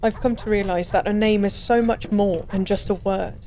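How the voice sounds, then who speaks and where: A woman's voice: medium pitch, fairly even intonation, normal speed, dark tone, close to an everyday voice. A woman playing a drunk, melancholy stranger who was once a god, confiding in a wistful, rambling, hesitant way in an intimate audio roleplay. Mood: wistful, sorrowful. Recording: clear background ambience noise. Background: bar ambience.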